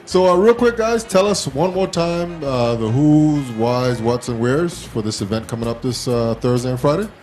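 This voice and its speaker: A man's voice: mid-range voice, animated, normal speed, hardly any pauses, a little mellow, soft background music. A man hosting a casual, informal talk show interview in a self-deprecating way.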